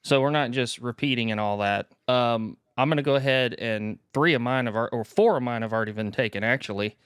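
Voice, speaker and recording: A man's voice: medium pitch, brisk, fairly bright tone, clean tone, no echo, clean studio sound. A man co-hosting a podcast in an easygoing, casual, self-deprecating chat. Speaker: podcast co-host.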